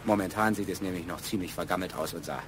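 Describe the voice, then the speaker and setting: An actor playing a middle-aged architect and father in a German radio play, halting and slightly pompous. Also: low voice, background noise, natural voice. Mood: hesitant, self-important. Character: middle-aged architect, hesitant, self-important family father.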